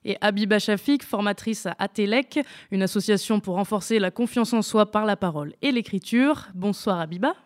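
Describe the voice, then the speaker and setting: A woman's voice: mid-range voice, fairly quick. A woman co-hosting a live radio show, announcing the station and introducing guests. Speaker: radio co-host.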